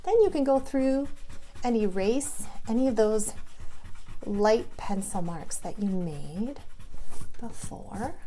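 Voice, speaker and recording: A woman teaching a drawing lesson to preschool children, guiding them gently and patiently, step by step. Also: fairly high pitch, expressive, takes it slowly, slight background noise.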